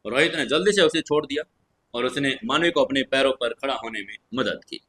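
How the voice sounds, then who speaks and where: A man narrating a scripted audio story in a dramatic, expressive, suspenseful way. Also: mid-range voice, a little fast, breathy edge.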